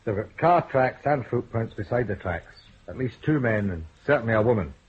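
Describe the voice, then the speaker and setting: An actor playing a plain-spoken police sergeant who grumbles. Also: male voice, medium pitch, lively intonation, normal speed, phone-quality sound, a little hiss or hum, close to an everyday voice. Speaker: police officer.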